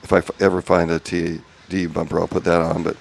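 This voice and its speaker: A man giving a casual update video from his home garage, talking quietly in a monotone with many ums and restarts, on a small clip-on mic. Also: sits low, fairly quick, vocal fry, slight background noise. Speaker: home car restorer. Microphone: small clip-on wireless mic.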